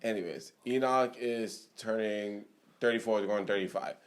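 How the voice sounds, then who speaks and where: A young man co-hosting a podcast, chatting casually, halting and restarting as he talks. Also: fairly low pitch, fairly even intonation. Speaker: podcast co-host. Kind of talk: casual chat.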